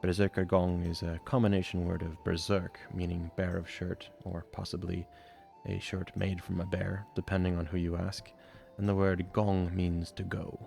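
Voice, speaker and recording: A man giving scripted educational narration in a slow, measured and deliberate reading. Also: low-pitched, little pitch movement, gravelly, darkish tone. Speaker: video narrator. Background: faint background music.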